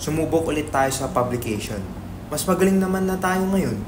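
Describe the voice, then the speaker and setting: A man's voice: fairly high pitch, lively intonation, fairly quick, slight background noise, lightly acted. A man playing a doubtful, guilt-ridden young writer in a dramatic script reading, reluctant and questioning. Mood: guilty, pleading.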